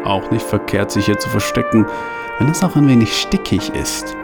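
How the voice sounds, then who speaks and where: A man's voice: on the low side, normal speed, vocal fry, over music. A man voicing a chummy, boastful male companion who talks in a jovial way.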